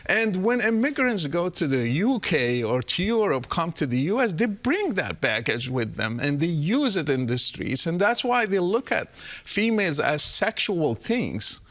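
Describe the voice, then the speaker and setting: A man's voice: high voice, big pitch swings, a little slow, hardly any pauses, a bit bright. A man, an Arabic speaker, explaining his views earnestly as a guest on an interview podcast.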